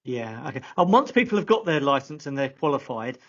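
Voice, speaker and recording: A man's voice: medium pitch, big pitch swings, fairly quick, a bit bright. A man hosting a live online session, conversational and a little tentative, rephrasing his questions as he goes. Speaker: host of a live careers webinar. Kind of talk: conversational interviewing. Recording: dull lo-fi online call audio.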